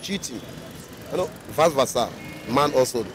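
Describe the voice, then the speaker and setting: A man's voice: mid-range voice, expressive, normal speed, over music. A middle-aged Nigerian man answering a street interview, emphatic and repeating his point into a handheld mic. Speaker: passerby interviewee. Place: busy city roadside outdoors. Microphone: handheld mic held by interviewer. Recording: outdoor street with traffic noise.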